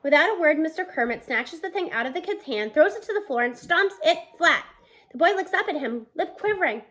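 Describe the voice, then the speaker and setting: A woman in her thirties reading a children's novel aloud as an expressive narrator, recorded at home on a device mic. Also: high voice, brisk. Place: small room at home, indoors.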